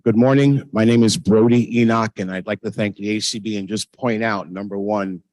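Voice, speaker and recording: A man in his sixties, a first-year law student, gives a wry, deliberate short speech at a convention. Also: lowish voice, fairly even intonation, darkish tone, no room sound, rough recording. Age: 60s. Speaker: law student, scholarship winner.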